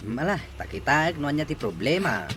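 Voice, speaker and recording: An actor playing a good-natured working husband in an Ilocano radio drama. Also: male voice, a little high, big pitch swings, brisk. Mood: puzzled.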